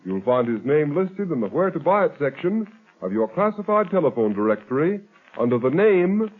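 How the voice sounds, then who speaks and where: A man's voice: a little high, big pitch swings, normal speed. A male announcer reading a 1930s radio commercial with emphatic, sing-song salesmanship. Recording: tinny old radio broadcast.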